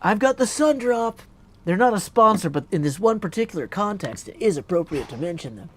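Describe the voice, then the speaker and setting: A man's voice: high voice, very animated, brisk, fairly bright tone. Man streaming a game with noisy background. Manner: thinking aloud, playful. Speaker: live streamer. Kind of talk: casual gaming commentary, reading chat aloud.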